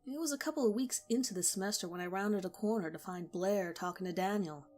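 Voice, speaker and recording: Calm woman narrating a spooky tale. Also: medium pitch, fast, soft background music. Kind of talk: scripted narration of a horror story.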